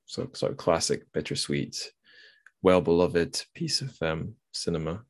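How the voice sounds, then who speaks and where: A man's voice: low voice, unhurried. A man hosting a podcast, talking casually about literature in a rambling, musing way as he thinks aloud. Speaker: podcast host.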